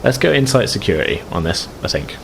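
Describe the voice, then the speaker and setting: A man's voice: fairly low pitch, very animated, fast, a bit bright. A man acting as game master, narrating a tabletop game casually and thinking aloud in loose, hesitant phrases. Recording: clear background noise, room ambience.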